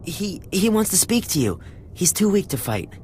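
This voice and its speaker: A woman's voice: mid-range voice, very animated, normal speed, darkish tone, a little hiss or hum, close to an everyday voice. A woman playing a frightened captive interpreter in a video game, hesitant, earnest and pleading. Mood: relieved, anxious. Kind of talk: dramatic video game acting.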